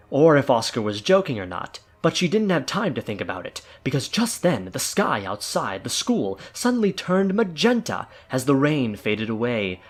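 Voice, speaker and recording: A man narrating a scripted audio drama in a theatrical storytelling manner, with noise behind him. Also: a little high. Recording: clear background noise and ambience.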